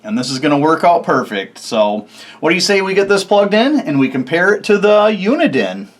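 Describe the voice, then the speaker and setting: Guy doing a casual truck diy video, kinda high voice, really up and down, folksy slang. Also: big pitch swings, a little fast. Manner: informal, folksy, mild slang. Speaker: host of a DIY truck build channel. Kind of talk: casual hands-on demonstration to camera.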